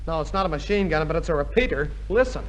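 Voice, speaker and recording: A man's voice: on the high side, animated, quick pace, close to an everyday voice. A man playing a wry, grumbling army private in a 1950s radio comedy. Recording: noisy vintage 1950s radio recording.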